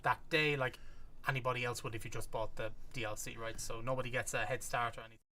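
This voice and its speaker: Bright-toned man in casual chat. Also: mid-range voice, steady tone, a little hiss or hum. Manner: agreeable, quick affirmations. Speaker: co-host reacting to game footage.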